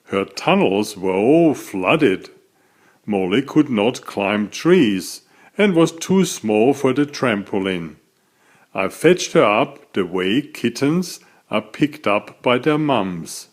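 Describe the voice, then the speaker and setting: A man reading a children's story aloud as its author, in an expressive, deliberate storytelling manner. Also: fairly low pitch.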